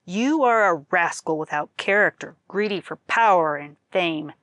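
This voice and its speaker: A woman's voice: on the low side, expressive, measured pace, fairly bright tone, no echo. A woman voicing a stern, righteous male government minister in a folktale, scolding formally with indignation. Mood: angry scorn.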